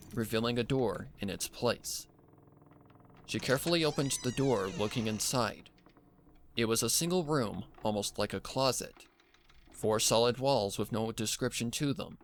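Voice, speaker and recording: A man's voice: mid-range voice, fairly bright tone. A man reading a dramatic scripted story as narrator, with noise behind him. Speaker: narrator reading fan fiction.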